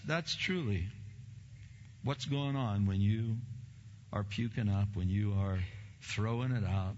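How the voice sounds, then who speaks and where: A pastor preaching a sermon in a church, a man with a big voice who swings from low, slow stresses to loud, emphatic bursts. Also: big-room sound, muffled recording.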